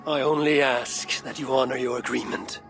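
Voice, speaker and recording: An actor playing a steadfast Viking warrior in a video game, counseling peace in a measured, earnest and solemn manner. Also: male voice, medium pitch, flat delivery, fairly bright tone, soft background music, close to an everyday voice. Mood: earnest, pleading. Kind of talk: dramatic video game acting.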